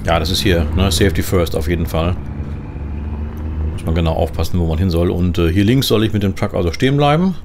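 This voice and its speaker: A man from Germany giving relaxed, conversational commentary in character while playing a truck driving game. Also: sits low, fast talker. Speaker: gaming channel host. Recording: clear engine and vehicle noise behind. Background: truck simulator game sound.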